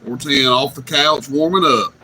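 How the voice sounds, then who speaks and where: A man hosting a CB radio night, chatting casually and telling drawn-out stories. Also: mid-range voice, very noisy. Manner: drawling, easygoing storyteller. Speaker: CB radio host.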